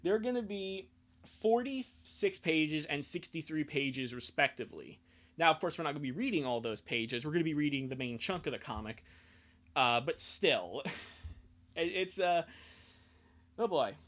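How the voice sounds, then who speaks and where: Give a casual livestreamer's ramble with a very animated pitch. The speaker gets sidetracked easily and talks in a self-deprecating, off-the-cuff way. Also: normal speed.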